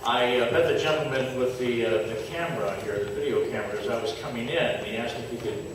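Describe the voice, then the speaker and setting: A man from the United States, a pastor, giving friendly and unhurried remarks at a church anniversary. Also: mid-range voice. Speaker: visiting pastor. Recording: echoey room from far off with noise.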